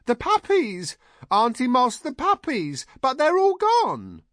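A man voicing an excitable, simple-minded, childlike woman with shrill exclamations and rustic phrasing in an audiobook. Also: normal speed, dry recording, clean studio sound. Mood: shrill, urgent. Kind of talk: audiobook character voice.

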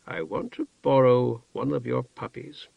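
A man voicing a calm, kind older wizard who speaks softly and plainly. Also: mid-range voice, unhurried, gravelly, breathy edge, dry recording, heavily acted. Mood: gentle. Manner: soft-spoken, warm and plain. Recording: dull lo-fi dry recording.

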